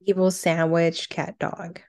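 A woman with a slightly low voice co-hosts a casual countdown show. She reads out each entry plainly, then drifts into conversational reminiscing. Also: flat delivery, slow, a little mellow. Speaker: co-host of a cartoon countdown show. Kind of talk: casual chat, reading out countdown entries.

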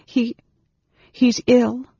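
A narrator of a fantasy audiobook voicing a frightened young female mage who pleads in a halting, stammering way. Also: mid-range voice, steady tone, mellow, breathy edge, voice pushed up, exaggerated voice. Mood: distressed, pleading. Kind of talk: dramatized fantasy audiobook dialogue. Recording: echoey, dull recording.

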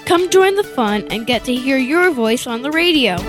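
A woman's voice: high voice, expressive, moderate pace. A female announcer giving details in a scripted radio promo. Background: music.

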